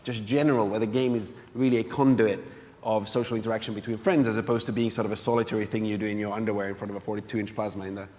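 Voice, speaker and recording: A man from Finland, a game entrepreneur, answering interview questions onstage in a quick, earnest way with many restarts. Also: fairly low pitch, level tone, a little mellow. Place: conference hall stage. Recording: long hall reverb.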